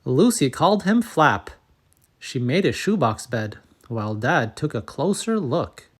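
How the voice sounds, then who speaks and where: A man reads a picture book aloud for children. His mid-pitched voice is expressive and measured, shaped for storytelling, with each phrase set out carefully. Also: slightly rough. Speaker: storybook reader and channel host. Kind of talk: scripted children's story narration.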